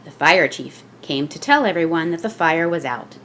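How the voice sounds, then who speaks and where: A woman reading a children's picture book aloud as scripted narration, with expressive storytelling delivery. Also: sits low, moderate pace, a little hiss or hum.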